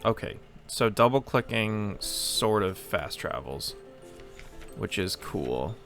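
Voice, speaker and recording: A man's voice: fairly low pitch, very animated, takes it slowly, a bit bright, soft background music. A man hosting a game playthrough with casual commentary, hesitant and rambling as he thinks aloud. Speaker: host of a gameplay channel.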